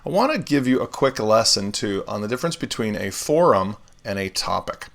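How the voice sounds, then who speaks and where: A man hosting a live software tutorial, explaining steps in a relaxed way with everyday comparisons while still getting over laryngitis. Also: on the low side, brisk, vocal fry. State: recovering from laryngitis, swallowing often. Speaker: web developer tutorial host.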